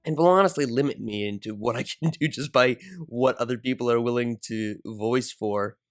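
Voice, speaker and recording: A woman's voice: rumbling low, moderate pace, soft background music. A young adult woman who narrates fan fiction and chats casually as host of her channel.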